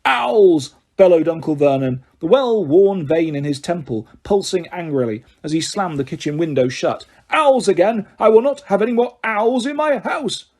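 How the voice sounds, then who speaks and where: Male reader as a bad-tempered bellowing father, husky and blustering. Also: on the high side, expressive, moderate pace, few pauses, mellow. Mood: furious. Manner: bellowing and growling.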